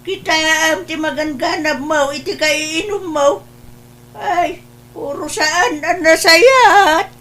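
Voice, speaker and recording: Casting: actress as fretful, exasperated Ilocano mother for comedy radio drama; high, unhurried, wailing delivery. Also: a bit bright, slight background noise, heavily acted. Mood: scolding, worried. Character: exasperated, fussing Ilocano mother of a drinking son.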